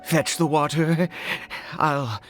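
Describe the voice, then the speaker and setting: An actor voicing a weary, anxious father in a video game, speaking in a halting, stammering way with nervous hesitations. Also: a little high. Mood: anxious, preoccupied. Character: weary, anxious father, nervous and desperate, devoted to his ailing son. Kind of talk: video game voice acting, dramatic English dub. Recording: music under the voice. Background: game music.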